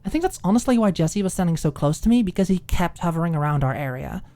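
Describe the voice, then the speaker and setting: A woman voicing a prim, expressive college student, articulate and easily exasperated, in an audiobook. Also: fairly low pitch, fast talker, natural voice. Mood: indignant.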